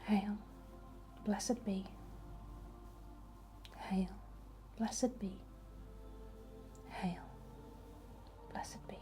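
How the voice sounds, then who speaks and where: A woman speaking in a soft, breathy whisper, gently guiding a soothing, unhurried ASMR healing ritual. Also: medium pitch, steady tone, music in the background. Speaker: ASMR host. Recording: faint background noise.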